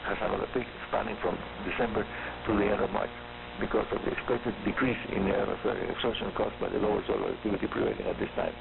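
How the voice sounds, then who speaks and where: A man with a Cuban accent hosting a scripted amateur radio program, explaining in a brisk, clear way and spelling things out phonetically. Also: fairly low pitch, fairly even intonation. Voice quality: gravelly. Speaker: radio show host. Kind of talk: scripted radio talk for hobbyists. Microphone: studio microphone heard over a radio receiver. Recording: tinny shortwave radio reception with mains hum and static. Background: hum and static.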